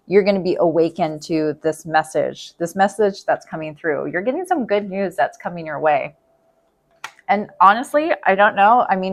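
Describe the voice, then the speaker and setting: A woman reading tarot in a casual, chatty way, thinking aloud and repeating herself, her voice going hoarse. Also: on the low side. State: losing her voice. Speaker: tarot reader.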